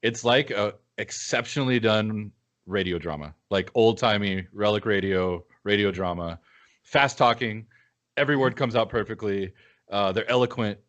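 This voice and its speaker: Man, fairly deep voice, podcast co-host, emphatic, repeats phrases, casual talk, mildly lo-fi sound. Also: moderate pace, a bit bright. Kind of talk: casual film review chat. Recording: dull, lo-fi podcast audio.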